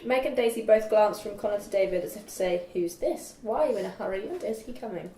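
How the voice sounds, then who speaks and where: A woman's voice: medium pitch, fast talker, warm tone. A young woman reading scripted stage directions aloud at a table read. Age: young adult. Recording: roomy, distant mic, faint noise.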